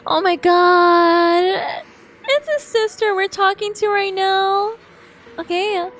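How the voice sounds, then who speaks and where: A woman's voice: very high voice, takes it slowly, fairly bright tone. A woman streaming her reactions to a game story and reading dialogue aloud, emotive, exclamatory and sympathetic.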